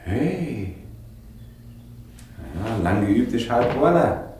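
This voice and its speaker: An actor playing a cheeky, flirtatious escaped convict in a radio play, breezy and colloquial. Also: male voice, medium pitch, very animated, extremely slow, noisy background. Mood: breezy, coaxing. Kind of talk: 1980s German radio play acting.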